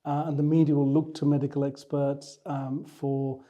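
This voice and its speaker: A man hosting a podcast interview, putting questions in measured, halting phrases. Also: fairly high pitch, level tone, dark tone. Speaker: podcast host interviewing a doctor.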